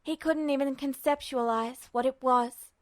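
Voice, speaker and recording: A woman narrating a piece of fiction as an expressive scripted reading. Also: sits high, moderate pace, no echo, clean studio sound. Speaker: podfic narrator.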